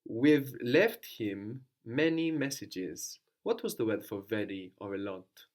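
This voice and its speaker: A man's voice: lowish voice, expressive, takes it slowly. A man teaching a language lesson, patiently prompting his student with questions and repeating the answers back.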